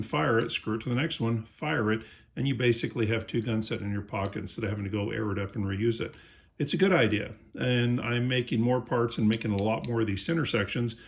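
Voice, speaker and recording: Middle-aged man, low voice, tired, rambling small-business sales talk. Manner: plain-spoken, rambling, many ums and uhs. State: tired after a long day. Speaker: small airgun parts maker. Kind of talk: casual sales talk to camera.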